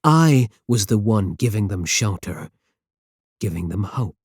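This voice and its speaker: A man's voice: lowish voice, lively intonation, measured pace, breathy edge, no echo, clean studio sound, full character voice. A man playing a possessive, seductive supervillain in an ASMR audio roleplay, with theatrical, condescending endearments and an idealistic contempt for the world. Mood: bitter, indignant.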